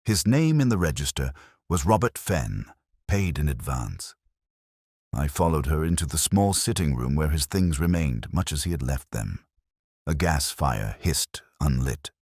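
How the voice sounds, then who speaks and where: A world-weary ageing inspector, played by a man, recounts his case in an extremely low voice. His delivery is literary and melancholy, with expressive rises and falls. The sound is dry and close, in the style of a detective audio drama. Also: no echo, heavily acted. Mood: brooding. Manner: literary, measured, brooding. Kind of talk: scripted first-person detective audio drama narration.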